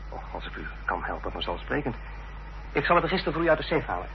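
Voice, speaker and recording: An actor playing a friendly, jovial young country lawyer in a radio drama, with an easygoing gentlemanly manner. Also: male voice, mid-range voice, sing-song, fast, gravelly. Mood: obliging, musing. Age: young adult. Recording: muffled, noisy old radio play recording.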